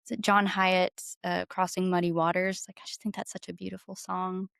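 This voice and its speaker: A woman's voice: on the low side, little pitch movement, moderate pace, creaky, a bit bright, clear, resonant tone, no echo, studio quality. A woman talking casually and enthusiastically as a guest in an interview, effusive about her creative work. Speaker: podcast guest, singer-songwriter and audio drama creator.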